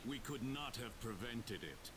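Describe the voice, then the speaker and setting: A man's voice: mid-range voice, lively intonation, measured pace, lightly acted. A man playing a cold, menacing villain in a video game. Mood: cold, calculating. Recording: clear hiss over game audio.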